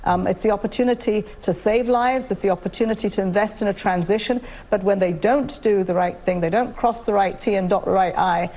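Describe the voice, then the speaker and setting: A middle-aged woman from Nigeria speaks in a live television interview. She is earnest and measured, pausing with fillers and restarting her sentences. Her voice sits in an ordinary middle range. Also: medium pitch, darkish tone. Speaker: guest, UN official. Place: studio, indoors.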